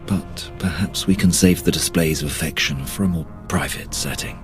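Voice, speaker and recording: An actor voicing a suave, formal and devoted imperial officer in a video game. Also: male voice, a bass voice, normal speed, soft background music. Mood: tender, restrained. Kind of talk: video game cutscene acting.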